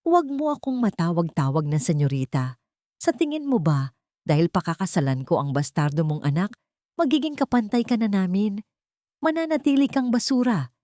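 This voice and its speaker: A woman's voice: medium pitch, very animated, normal speed, warm tone, dry recording, clean studio sound, lightly acted. Woman narrator voicing a haughty, cruel rich young bride. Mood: scornful. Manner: contemptuous, sweetly venomous.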